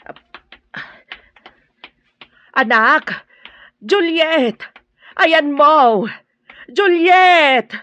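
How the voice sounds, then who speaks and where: A woman's voice: sits high, expressive, extremely slow, long pauses, pushed and bright, no echo, exaggerated voice. A woman with a Filipino accent playing an anxious, protective older mother in a radio drama, pleading and emotional. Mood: frantic, searching. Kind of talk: Ilocano radio drama acting.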